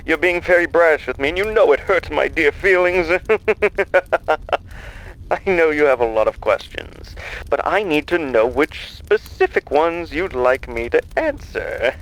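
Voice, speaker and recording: Audio drama villain: gleeful mocking mastermind, slow theatrical delivery, swooping higher-pitched voice, falsely soothing. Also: male voice, animated, fairly bright tone, rough recording. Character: gleeful, mocking, sinister male mastermind on the phone.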